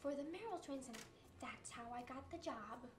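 A young woman with a high voice performs in a comedy sketch. Her delivery is playful, and she complains dramatically for laughs. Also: expressive, normal speed, crowd noise. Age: young adult.

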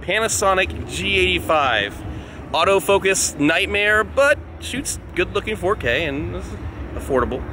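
A man's voice: high voice, sing-song, unhurried, background noise. A man in his thirties, a fellow vlogger, chatting in a friendly joking way outdoors at night. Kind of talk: casual chat. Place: outdoor parking lot at night. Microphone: another person's handheld camera.

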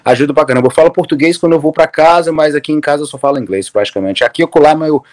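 A man's voice: a little high, rapid-fire. A man from Brazil in his thirties, a guest on a video-call interview, talking casually with lots of restarts and fillers. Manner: relaxed, rambling with many restarts and fillers. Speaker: interview guest, MMA coach. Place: room at home, indoors.

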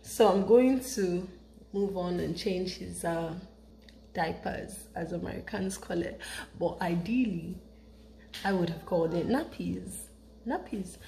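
A woman's voice: lowish voice, unhurried, gravelly, a little hiss or hum. Chatty Nigerian woman in an echoey kitchen. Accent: Nigerian English with British influence. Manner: animated, chatty, gestures with hands. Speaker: vlogger. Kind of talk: casual vlog talk to camera. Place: home kitchen, indoors. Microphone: camera on a counter, close. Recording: echoey room with faint ambience.

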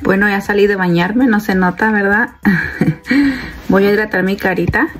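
A woman's voice: medium pitch, measured pace, soft background music. A woman in her thirties, a stay-at-home mom, chatting casually to her phone camera at home and calling her viewers "girls". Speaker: mom vlogger. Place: home kitchen and bedroom, indoors. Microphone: phone held at arm's length.

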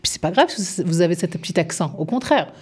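A woman in her fifties speaks into a studio microphone with a lowish voice, earnest and persuasive as she lays out advice. She sounds like an employment advisor giving a radio interview. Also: animated, brisk, a little mellow, breathy edge.

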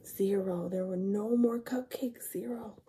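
A woman's voice: mid-range voice, steady tone, measured pace, mellow, a little hiss or hum. A woman in her thirties reading a picture book aloud to young children, expressively, with questions and counting along. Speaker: storytime reader.

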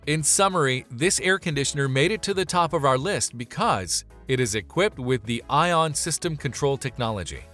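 A man's voice: mid-range voice, fairly bright tone. A man reading a scripted product review as voice-over, upbeat and emphatic on transitions. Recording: voice-over with faint background music.

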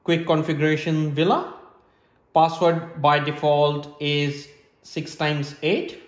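A man's voice: on the high side, flat delivery, slow pace. A man in his thirties giving step-by-step instructional narration in a plain, methodical way, in short statements. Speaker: presenter of a tech setup tutorial. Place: small hard-floored room indoors. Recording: roomy, echoing indoor sound.

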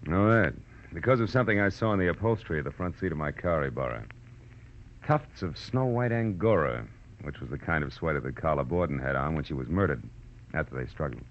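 A tough American gumshoe in a muffled, hissy vintage radio drama. His voice sits very low, and he talks in clipped, hard-boiled lines with steady self-confidence. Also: brisk. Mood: explaining, relaxed. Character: tough, self-confident male private detective narrating his case. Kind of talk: 1940s-50s American radio detective acting and narration. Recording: muffled, noisy old-time radio recording.

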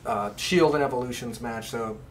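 A man with a mid-pitched voice chats informally as a podcast co-host. Some faint noise and ambience sit behind his voice. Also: normal speed. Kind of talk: casual podcast chat. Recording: clear background noise, room ambience.

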